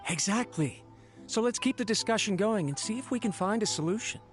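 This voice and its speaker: High-voiced, earnest young servant. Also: male voice, lively intonation, fairly quick, music in the background. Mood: polite, upbeat. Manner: deferential, encouraging. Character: loyal, earnest young male servant, supportive, deferential. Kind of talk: video game dialogue acting.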